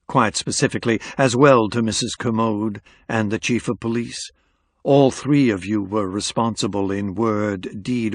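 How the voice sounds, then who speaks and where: A man performs a cool, insolent special agent from a mystery novel. His Southern American accent is smooth and measured, and his manner is formal and unflappable. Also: on the low side, no echo, studio quality.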